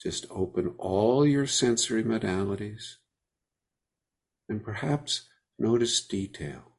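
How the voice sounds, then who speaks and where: A man leading a guided meditation, speaking gently with unhurried pauses between phrases. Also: on the low side, vocal fry.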